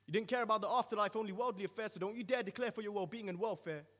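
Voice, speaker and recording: A man's voice: on the high side, very fast, darkish tone, big-room sound, natural voice. A man voicing a stern male angel of punishment who delivers a roaring, solemn condemnation in rhyme. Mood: accusing.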